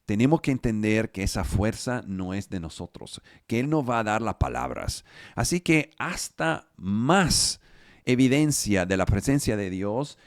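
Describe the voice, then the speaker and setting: Halting non-native Spanish-speaking pastor. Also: male voice, lowish voice, animated, no echo, studio quality. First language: not Spanish. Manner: halting, searching for words, slow emphatic scripture reading. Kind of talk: sermon over a video call. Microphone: video call.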